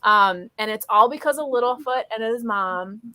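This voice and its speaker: Bright-voiced woman hosting a casual chat. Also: on the high side, normal speed, no echo. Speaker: host of a book readalong live show.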